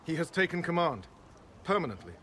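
Earnest young knight, noisy ambience. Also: male voice, on the high side, big pitch swings, fairly bright tone. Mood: grave, urgent. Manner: formal, measured. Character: earnest, dutiful young male Templar knight. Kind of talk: video game cutscene acting.

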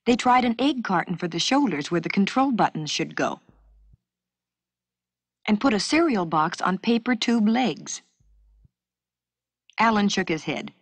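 A woman reading scripted story narration for an educational film strip, with a clear storytelling delivery. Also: mid-range voice, lively intonation, measured pace, long pauses, no echo.

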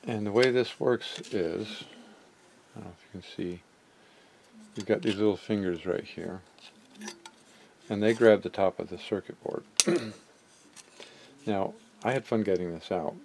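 A man narrating a hands-on repair casually, haltingly thinking aloud as he works. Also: fairly low pitch, gravelly, a little mellow, a little hiss or hum. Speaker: repair hobbyist and video maker. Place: indoor cluttered electronics workbench.

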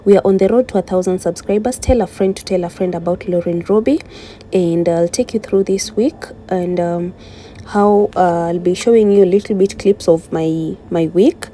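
A woman's voice: lowish voice, moderate pace, warm tone, a little hiss or hum. A young woman vlogging to her phone camera, upbeat and chatty, speaking straight to her viewers from a car and a restaurant. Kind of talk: casual vlog talk to camera.

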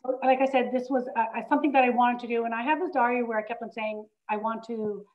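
Earnest higher-voiced woman in her sixties. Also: steady tone. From Greece, raised in Canada. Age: 60s. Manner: earnest, reflective, slightly halting. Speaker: guest artist. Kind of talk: personal storytelling on a webinar. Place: home living room, indoors. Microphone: video call, laptop camera. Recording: muffled lo-fi video call audio.

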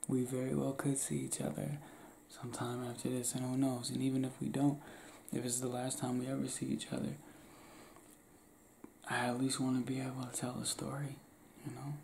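Young man, half-whispered, laid-back, gravelly, close to the camera, small room. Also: mid-range voice, flat delivery, a little fast, darkish tone, slight background noise, rough recording. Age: young adult. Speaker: ASMR roleplay creator. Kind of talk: ASMR roleplay, spoken to the listener. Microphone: camera close to face.

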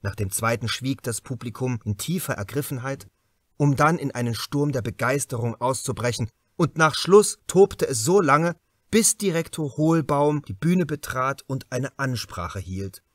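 Guy narrating a crime audiobook, medium pitch, careful dramatic phrasing, really dry recording. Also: animated, measured pace, no room sound. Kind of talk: scripted literary narration of a crime novel.

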